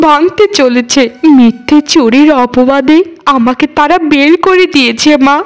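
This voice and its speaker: A woman's voice: high voice, natural voice. A woman voicing a wronged, innocent young daughter-in-law in a cartoon, plaintive and dramatic. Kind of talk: cartoon voice acting.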